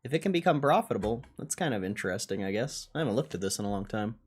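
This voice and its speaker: A man's voice: lowish voice, animated, rapid-fire, husky. A man livestreaming casual stock commentary, thinking aloud in a blunt, slangy, offhand way with plenty of swearing. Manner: foul-mouthed, blunt.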